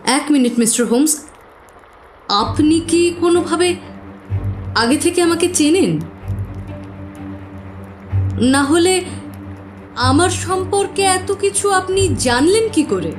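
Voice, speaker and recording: A woman playing an anxious, earnest client of modest means in an audio drama, speaking deliberately. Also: extremely high pitch, lively intonation, soft background music, full character voice. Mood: surprised, agitated. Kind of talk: Bengali audio drama acting.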